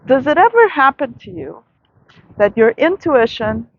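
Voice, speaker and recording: A woman vlogger giving a soft, reflective late-night monologue outdoors in a city, with many pauses and fillers. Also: sits high, very animated, muffled recording.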